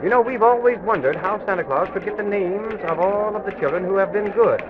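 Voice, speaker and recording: An actor playing a gentle, agreeable storyteller companion in an old children's radio serial, speaking in a polite, wholesome way. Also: male voice, sits high, brisk, noisy background, lightly acted. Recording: tinny, narrow vintage radio with music.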